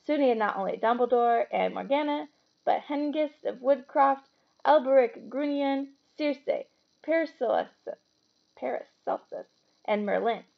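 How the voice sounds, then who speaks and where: A woman reads a children's story aloud in an expressive, informal way. Her voice is fairly high with plenty of creak, and the recording is a touch muffled. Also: measured pace. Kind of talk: expressive children's book reading with casual asides.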